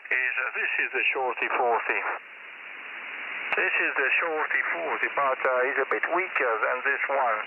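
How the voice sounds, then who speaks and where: An Italian-accented man, a radio amateur, speaking polite, halting English over a shortwave radio link. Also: mid-range voice. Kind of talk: casual radio contact chat. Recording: narrow phone-like shortwave radio, loud noise.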